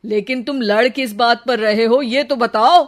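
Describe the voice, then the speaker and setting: A woman's voice: a little high, unhurried, close to an everyday voice. A woman voicing an exasperated young husband in a cartoon, weary and pleading. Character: exasperated young husband caught between wife and mother.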